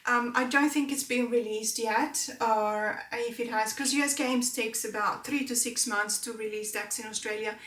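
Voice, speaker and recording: A woman's voice: fairly high pitch, fairly even intonation, moderate pace, hardly any pauses, a bit bright. Female tarot vlogger whose first language is Italian; chatty, filler-heavy delivery in a roomy, echoing space. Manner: chatty, rambling, many fillers. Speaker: tarot channel host. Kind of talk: casual talk to camera. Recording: roomy echo.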